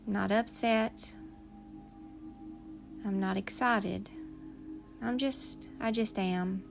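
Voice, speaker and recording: A woman leading a guided meditation in a soothing, unhurried manner, leaving long pauses between phrases. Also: mid-range voice, steady tone, creaky, soft background music.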